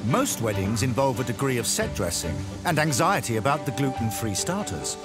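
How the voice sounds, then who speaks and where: A man's voice: medium pitch, big pitch swings, normal speed. A man with an English accent narrating a documentary in a wry, storytelling way. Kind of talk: scripted narration. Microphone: studio voice-over. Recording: voice-over over loud music. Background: music.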